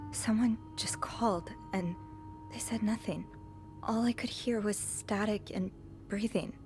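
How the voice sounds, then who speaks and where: An actress playing an earnest, uneasy young woman working as a hotel maid in a video game, speaking softly and a little hesitantly. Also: medium pitch, a little slow, over music, close to an everyday voice. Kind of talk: video game dialogue acting.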